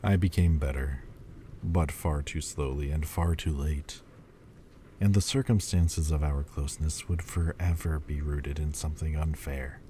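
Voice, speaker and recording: A man playing a very deep, brooding demon lord. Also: fairly even intonation, a little hiss or hum. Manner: slow, quiet, halting confession. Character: brooding ancient male demon lord, wistful. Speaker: voice actor. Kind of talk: audio roleplay monologue.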